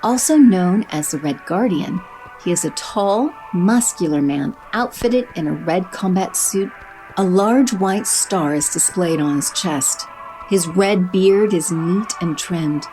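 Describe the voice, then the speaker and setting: A woman narrating an audio drama in a steady, descriptive way. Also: on the low side, slow pace, rough recording. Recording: music bed under narration.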